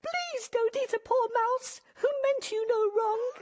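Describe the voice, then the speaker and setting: A woman voicing a tiny, timid mouse who pleads in a squeaky, tearful voice. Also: measured pace, darkish tone. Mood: pleading. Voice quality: squeaky high voice.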